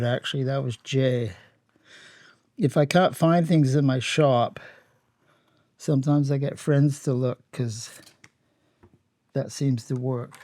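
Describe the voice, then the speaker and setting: A Canadian man talks through a hands-on demonstration at a very slow, unhurried pace. His voice is dark and relaxed, recorded close and dry, and he explains each step in a plain, matter-of-fact way. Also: mid-range voice, no echo. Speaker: host of a workshop how-to channel. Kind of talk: casual hands-on demonstration, explaining steps while working.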